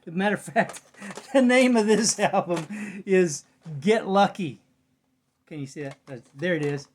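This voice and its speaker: A man's voice: high voice, a little slow. A middle-aged American man chatting casually and unscripted in a rambling, folksy, muttering way, as a vlogger. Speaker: vlogger showing a cassette collection. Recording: clear background noise, clicks, home recording.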